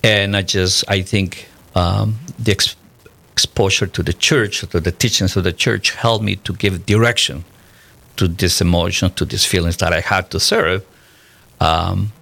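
A man's voice: deep voice, fairly even intonation, normal speed, gravelly, sharp tone. A man in his fifties from Peru, a native Spanish speaker with a Peruvian accent, answering questions conversationally as an interview guest.

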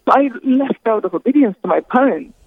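A woman from Rwanda, speaking slowly and earnestly as a guest on a phone interview, often pausing mid-thought. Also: fairly high pitch, expressive, a little hiss or hum. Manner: slow, earnest, halting with careful pauses. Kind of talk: phone interview, personal testimony. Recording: tinny phone line.

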